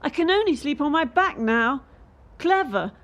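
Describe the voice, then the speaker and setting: High-pitched bitter young gymnast, acted by a woman. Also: lively intonation, normal speed, lo-fi sound. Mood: bitter, wary. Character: bitter, defiant young female elite gymnast under pressure. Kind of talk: radio play acting.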